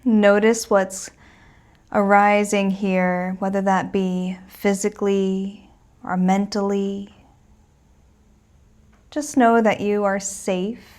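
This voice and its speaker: A woman leads a guided meditation at a very unhurried pace. Her delivery is gentle and soothing, with the reassuring manner of a teacher settling beginners in. Her pitch sits in an average female range. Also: level tone.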